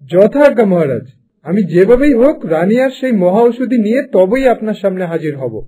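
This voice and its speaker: A determined cartoon peasant, played by a man, with a high-pitched voice. He sounds earnest and respectful, an obedient farmer ready to serve. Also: very animated, a little fast, dark tone, breathy edge, full character voice. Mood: dutiful, determined. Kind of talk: Bengali cartoon dubbing.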